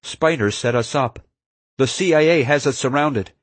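A man's voice: mid-range voice, normal speed, dry recording, pitched above the performer's own voice, natural voice. A man voicing a young male villain in an audiobook, speaking with firm, resolved confidence. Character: newly confident young male villain having second thoughts. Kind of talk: audiobook character acting.

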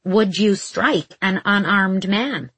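A woman's voice: mid-range voice, takes it slowly, a bit bright, husky, dry recording. A woman voicing a pompous, violent nobleman in an audiobook, haughty and commanding with archaic insults. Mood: mocking.

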